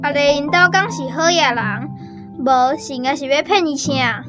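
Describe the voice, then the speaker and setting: A woman's voice: high voice, moderate pace, music in the background, natural voice. A woman with a Taiwanese accent playing a curious, innocent young village boy in a radio drama.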